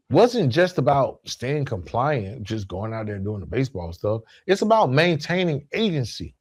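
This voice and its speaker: A man speaks as the host of a motivational podcast in a mid-range voice. His delivery is deliberate and emphatic, repeating phrases for weight. He keeps it conversational with small check-ins to the listener. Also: medium pitch, a little mellow. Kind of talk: motivational solo podcast monologue.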